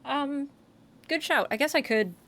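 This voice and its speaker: A woman's voice: high voice, a little slow, a bit bright. An American woman chatting on her live stream in a loose, rambling way. She trips over her own starts, swears freely and breaks into laughter as she goes. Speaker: streamer and voice actor. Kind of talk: casual live-stream chat.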